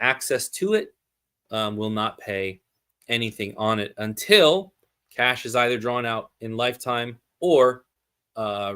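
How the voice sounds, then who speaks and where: A man's voice: medium pitch, sharp tone. A man giving a live streamed lesson, thinking aloud through worked examples and answering his own questions. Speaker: teacher explaining zakat calculation.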